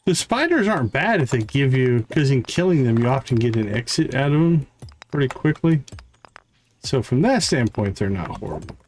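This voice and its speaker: A man streams a video game with lively, swinging intonation at a middling pitch. He chats playfully to himself in a casual gameplay commentary style, with faint clicks and typing noise underneath. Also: normal speed. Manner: playful, talks to himself, chants little sound words. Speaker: livestreamer playing a video game.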